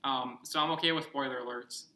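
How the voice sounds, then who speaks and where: A man's voice: medium pitch, fairly even intonation, a little fast, roomy sound, noisy background. A young man co-hosting a casual podcast, rambling and joking, asking lots of questions. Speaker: podcast co-host. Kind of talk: casual chat.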